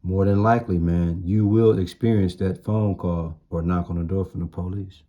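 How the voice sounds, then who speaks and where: A man's voice: deep voice, steady tone, normal speed, dull audio. A man giving an informal sermon in blunt, streetwise slang, repeating phrases for emphasis. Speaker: street-style preacher.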